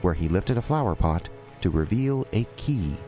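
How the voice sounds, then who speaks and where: A man's voice: low voice, animated, moderate pace, music in the background. A man reading scripted narration for an audio drama.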